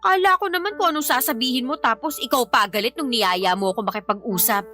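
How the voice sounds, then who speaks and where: A woman's voice: on the high side, fast, bright, forceful tone, faint music behind. An actress playing an irritated, defiant young mother in a radio drama, sarcastic and scolding, with a Filipino accent. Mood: exasperated scolding.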